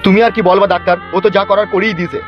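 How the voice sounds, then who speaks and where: A man's voice: high-pitched, steady tone, racing, close to an everyday voice. A man voicing an angry, shamed middle-aged village father in a cartoon, coarse and scolding. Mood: bitter. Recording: dull lo-fi track, music behind.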